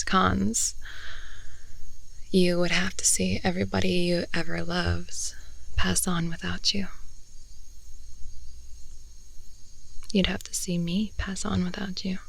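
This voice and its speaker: A woman playing an affectionate, rambling girlfriend in an intimate improvised ASMR roleplay, soft and hesitant, often slipping into whispers, close to the mic. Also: mid-range voice, creaky, exaggerated voice, rough recording. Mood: tender, somber. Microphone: close binaural headphone-oriented microphone.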